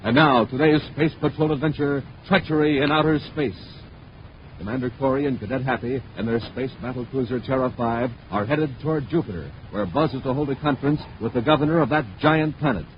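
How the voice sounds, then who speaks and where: A man's voice: mid-range voice, a little fast. A man announcing with emphatic, punchy delivery in scripted 1950s radio style. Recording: dull, faintly noisy 1950s radio recording.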